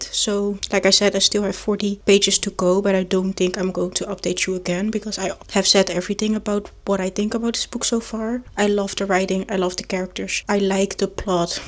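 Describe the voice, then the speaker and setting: A young woman whose first language is Dutch, chatting enthusiastically to camera at home in a casual reading vlog. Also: mid-range voice. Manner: chatty, enthusiastic, repeats lists. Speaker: book vlogger.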